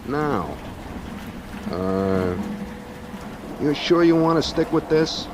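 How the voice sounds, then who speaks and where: An actor playing a stern, plodding police captain with a dry bureaucratic manner in a 1940s radio crime drama. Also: male voice, on the high side, lively intonation, extremely slow. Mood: dry, official. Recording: noisy old radio recording.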